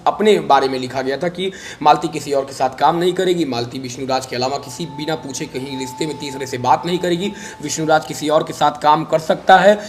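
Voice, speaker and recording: A man with a slightly high voice answers questions in an interview. He speaks emphatically and repeats phrases to make his point, with faint ambient noise behind him. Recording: noisy recording with background ambience.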